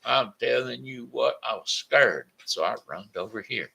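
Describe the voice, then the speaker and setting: A man voicing a frightened little pig in a storybook tale, in a high, panicky voice. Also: animated, a little slow, gravelly, bright, forceful tone, slightly rough, heavily acted. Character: scared squealing little pig.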